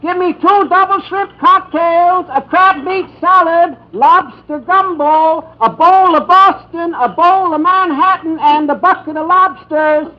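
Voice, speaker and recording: An American actor playing a small, wispy, fussy, excitable waiter in 1950s radio drama. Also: male voice, very high-pitched, unhurried, few pauses. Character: wispy little nervous, kindly waiter. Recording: muffled 1950s radio recording.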